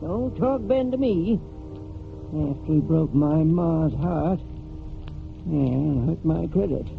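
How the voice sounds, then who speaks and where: An actor playing a grudging, tightfisted eldest brother with a rural American accent, grumbling and folksy in a 1940s radio play. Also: male voice, a little high, slow, vocal fry, exaggerated voice. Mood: indignant. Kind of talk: 1940s radio drama acting. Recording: tinny 1949 radio recording, music behind.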